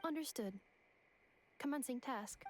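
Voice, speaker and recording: A woman's voice: sits high, unhurried, slight background noise. A woman voicing a polite, dutiful robot companion in a video game, speaking in clipped, formal status reports. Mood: composed, formal.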